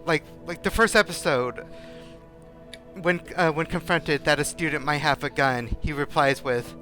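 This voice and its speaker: A man on a discussion panel chatting casually in a halting way, often repeating and breaking off words. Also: on the high side, pushed and bright. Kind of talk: casual chat. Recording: music playing behind the voice.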